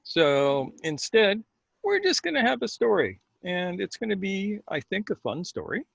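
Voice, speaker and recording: Higher-voiced male storyteller, close and dry. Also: big pitch swings, a little slow, creaky, fairly bright tone, slightly rough, no room sound, lo-fi sound. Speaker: storyteller and co-host. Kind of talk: expressive story reading.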